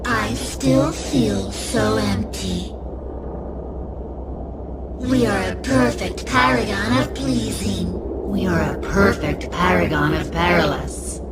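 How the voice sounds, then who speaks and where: A woman's voice: medium pitch, slow pace, loud music behind the voice. A woman voicing a broken female fox animatronic in a video game, theatrical and sweetly deranged, drawing out her menacing words. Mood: mock-sweet menace. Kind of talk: video game character voice lines.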